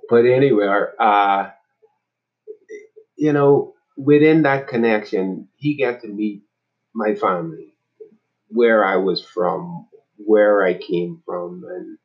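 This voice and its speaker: Deep gravelly Bahamian man. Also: lo-fi sound. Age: 40s. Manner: halting, restarts and repeats words. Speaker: nonprofit executive director. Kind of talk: casual personal introduction.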